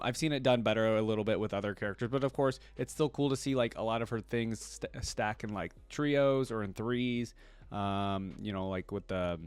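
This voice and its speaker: A man hosting a casual reaction video, rambling and thinking aloud as he comments. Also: on the low side.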